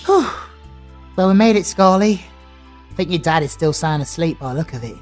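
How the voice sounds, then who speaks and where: A man with a British accent voicing a cheeky, chatty comic sidekick who makes quick gossipy asides. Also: a little high, lively intonation, darkish tone, faint music behind, close to an everyday voice.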